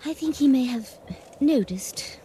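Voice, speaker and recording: A soft-toned schoolgirl in a radio drama. She is confident, bossy and earnest, a self-appointed expert on love. There is faint noise in the recording. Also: sits high, expressive, measured pace. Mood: reassuring. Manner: earnest, instructive.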